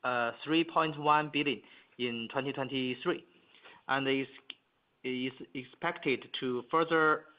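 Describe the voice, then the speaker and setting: A male executive reads prepared financial remarks at a slow pace through slightly dull conference call audio. His delivery is hesitant, broken up by frequent fillers and words he says twice. The pitch sits in an ordinary middle range for a man. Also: steady tone. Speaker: company executive presenting on an earnings call. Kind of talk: reading prepared earnings remarks. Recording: muffled, band-limited conference call audio.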